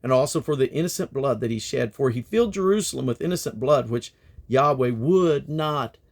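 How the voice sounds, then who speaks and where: A man reading scripture aloud in a solemn, declamatory manner, with measured phrasing and plainly spoken references. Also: mid-range voice, expressive, creaky. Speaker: Bible reader and host.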